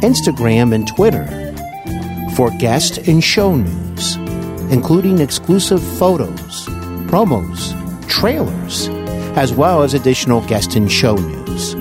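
A man's voice: mid-range voice, huge pitch swings, takes it slowly, music in the background. A man hosting an interview podcast, introducing his show. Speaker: podcast host.

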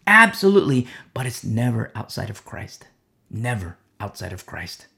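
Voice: medium pitch, very animated, normal speed, creaky, pushed and bright. A preacher delivering a sermon, with rhetorical questions and a repeated "you see?".

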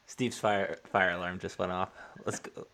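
A man's voice: low-pitched, steady tone, normal speed, heavy background noise. A man co-hosting a casual podcast chat, asking informal, roundabout interview questions.

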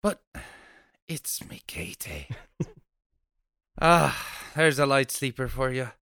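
A man's voice: medium pitch, sing-song, slow, creaky, fairly bright tone, clean tone, no echo, clean studio sound, exaggerated voice. A man playing a nosy, chatty, stubborn off-duty policeman neighbor in a table read of an old radio horror play, with folksy, blustery speech.

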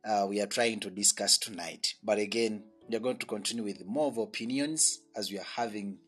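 A man's voice: on the low side, a bit bright, slightly rough, soft background music. A man hosting a faith radio chat show, earnest and emphatic, praying and welcoming listeners. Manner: earnest, slow and emphatic, with swells in volume. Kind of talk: preaching and prayer, then hosting a chat.

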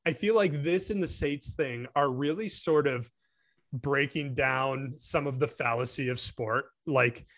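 A man's voice: mid-range voice, measured pace, fairly bright tone. A man co-hosting a swimming podcast, chatting casually and thinking aloud with frequent restarts. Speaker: podcast co-host. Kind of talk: casual sports podcast chat.

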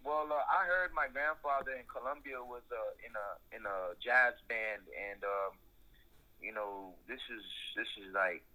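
Bright-voiced laid-back young man. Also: mid-range voice, unhurried, low-quality recording. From South Florida. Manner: laid-back, rambling with many fillers. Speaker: music executive, guest. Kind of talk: casual interview chat.

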